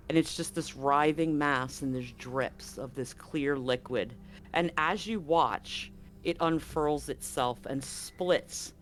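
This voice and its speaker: A game master narrating a tabletop horror game in a dramatic, suspenseful way, with deliberate pauses. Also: medium pitch, fairly bright tone, a little hiss or hum.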